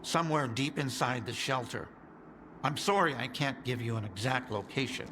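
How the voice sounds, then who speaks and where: An actor playing an anxious scientist who explains grave matters earnestly in a video game. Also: male voice, mid-range voice, normal speed, noisy background, lightly acted. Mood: grave, uneasy. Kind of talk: video game cutscene acting.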